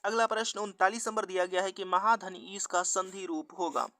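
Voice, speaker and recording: A man's voice: high voice, fairly even intonation, moderate pace, pushed and bright, no echo, clean studio sound. A man working as an exam-prep teacher, reading out quiz questions and answers in a methodical, formulaic instructional way. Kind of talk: instructional mock-test narration.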